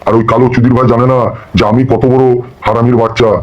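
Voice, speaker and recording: A man's voice: medium pitch, little pitch movement, quick pace, lightly acted. A man voicing a boastful, crooked politician in a comic cartoon, scolding and swearing on dull audio. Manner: scolding, swaggering, curse-laden. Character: bullying, boastful crooked politician and gang boss. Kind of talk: amateur comic cartoon dialogue.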